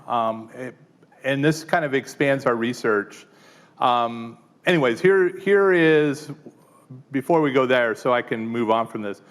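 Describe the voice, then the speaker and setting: A man presenting a live teaching workshop, talking casually with frequent fillers and quick "right?" check-ins to the room. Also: mid-range voice. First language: English. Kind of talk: live tech workshop demo.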